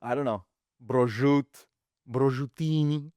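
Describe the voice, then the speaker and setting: A man's voice: mid-range voice, a little slow, darkish tone, smooth, no echo. A man from Quebec co-hosting a podcast, chatting casually and animatedly, switching between English and French. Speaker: podcast co-host. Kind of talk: casual bilingual chat.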